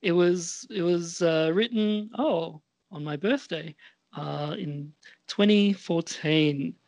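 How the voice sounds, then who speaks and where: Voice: medium pitch, slow pace, a little mellow, no echo. A podcast co-host chatting casually in a hesitant, self-deprecating way. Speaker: co-host and script writer. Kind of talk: casual chat.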